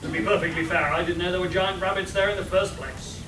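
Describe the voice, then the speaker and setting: A man's voice: sits high, fairly even intonation, fast, close to an everyday voice. A man playing a pompous, self-assured adventurer hero in a comic radio play, recorded live. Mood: awkward, genial. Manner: pompous, declamatory. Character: pompous, self-assured heroic male monster-slaying adventurer. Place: live performance at a convention, distant mic. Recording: very noisy, echoey, distant live recording.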